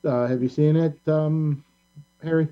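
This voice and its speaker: A man hosting a casual pop culture discussion, talking in a halting, rambling, opinionated way. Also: on the high side, steady tone, clear, resonant tone. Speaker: talk show host. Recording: band-limited, dull lo-fi sound.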